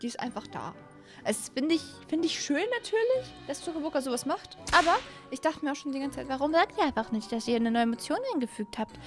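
A young woman hosting a gaming video, giving casual, animated and excitable commentary into a close desk microphone. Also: high voice, fast talker. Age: young adult. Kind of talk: casual let's-play commentary. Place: small indoor streaming room. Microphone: close desk microphone on an arm. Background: faint music.

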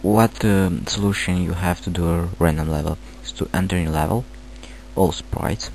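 A man with a Romanian accent, not a native English speaker, halting through a screen-recorded software tutorial with many fillers. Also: low-pitched, fairly even intonation, slight background noise. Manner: hesitant, halting, filler-heavy. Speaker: tutorial maker.

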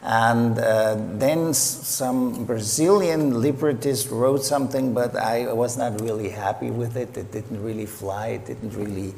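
A man from Switzerland, a composer on a panel, telling a story in a relaxed way with fillers. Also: mid-range voice, a little slow, gravelly, few pauses, a little hiss or hum. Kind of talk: conversational storytelling. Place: large theater stage. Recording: reverberant hall with faint ambience.

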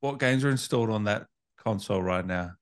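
A man's voice: on the low side, brisk, clear, resonant tone. A man hosting an informal interview, chatting casually and jumping in with curious questions and interjections. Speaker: interview host. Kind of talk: casual chat.

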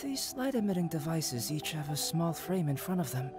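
A man voicing a calm, analytical young alchemist in a video game, measured, detached and scholarly. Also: a little high, over music.

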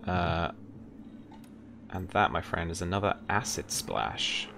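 A man's voice: sits low, animated, takes it slowly, gravelly, background noise. A young man running a tabletop game as game master, narrating and talking rules in a casual, hesitant way. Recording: music behind the voice.

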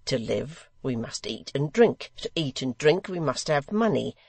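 A man's voice: medium pitch, very animated, a little slow, gravelly, no echo, full character voice. A man voicing a sly, mock-courteous blind extortioner in a theatrical, insistent storybook reading. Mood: coolly menacing.

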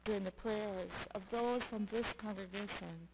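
A middle-aged woman pastor delivering a sermon in measured, emphatic short phrases. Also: mid-range voice. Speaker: Lutheran pastor. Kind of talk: sermon with scripture reading. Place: church sanctuary, at the pulpit. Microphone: gooseneck pulpit microphone. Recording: clearly noisy church sound recording.

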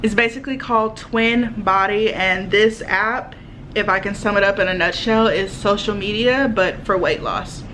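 A woman's voice: medium pitch, normal speed, fairly bright tone, slight background noise, poor audio. A young woman in her twenties chatting to her phone camera at home as a vlogger, full of fillers like "like" and "literally". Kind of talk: casual chat to camera. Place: small home, living room then kitchen, indoors. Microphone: phone camera at arm's length.

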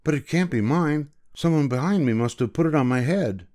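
A man's voice: lowish voice, sing-song, unhurried, a little mellow. A man voicing a meek, polite and bewildered young boy from a storybook, speaking in a timid, deferential manner. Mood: flustered, bewildered. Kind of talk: storybook character voice.

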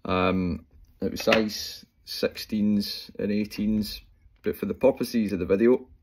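A Scottish man in his forties explaining fly tying step by step at home, talking in a relaxed way with plenty of fillers as he works. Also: on the low side, takes it slowly, a little hiss or hum. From Scotland. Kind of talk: casual talk-through tutorial. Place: small room at home, indoors. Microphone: phone camera close up.

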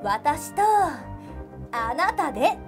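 A woman playing a cool, scheming high school club president in an anime radio drama, matter-of-fact and teasing. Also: big pitch swings, very slow, music in the background, exaggerated voice. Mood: cool, smug.

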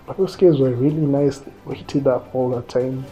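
Mellow Kenyan man telling a story. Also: medium pitch, normal speed, poor audio. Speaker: interviewee recounting his own trip. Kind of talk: conversational storytelling to camera. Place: small quiet indoor room, seated. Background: faint music.

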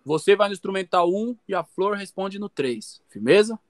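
A man hosting an online rap battle over a headset on a video call, with brisk, slangy cues. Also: high voice, expressive. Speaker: battle host.

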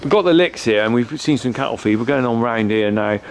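A middle-aged man chats eagerly as he walks a warehouse, asking question after question. He is close to a handheld camera, and steady background noise fills the space around him. His pitch sits at an ordinary middle level. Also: brisk. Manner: chatty, curious, asks lots of questions. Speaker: farmer and vlogger touring a store. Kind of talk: casual chat. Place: large indoor warehouse with shelving. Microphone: camera mic, handheld close-up.

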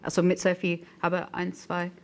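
A woman's voice: lowish voice, completely flat delivery, normal speed, slight background noise. Middle-aged woman singer chatting. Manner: fluent, many fillers. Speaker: singer, talk show guest. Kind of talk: casual chat. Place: TV studio.

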